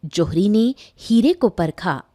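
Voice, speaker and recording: Female cartoon narrator: unhurried, highly varied intonation, reading a scripted story. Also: lively intonation, mellow, no echo, clean studio sound.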